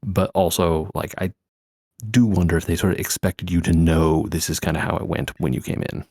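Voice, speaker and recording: A man's voice: low voice, little pitch movement, a little fast, creaky, darkish tone, no room sound, clean studio sound. A man hosting a podcast, casually explaining games with plenty of hedging asides. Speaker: podcast host. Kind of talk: casual gaming chat.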